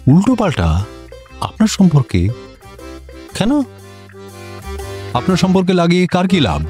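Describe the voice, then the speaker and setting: An actor playing a district magistrate in a comic radio drama, an officious, easily bemused senior official with an incredulous way of questioning. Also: male voice, high voice, wildly swooping pitch, measured pace, a little mellow, soft background music, exaggerated voice.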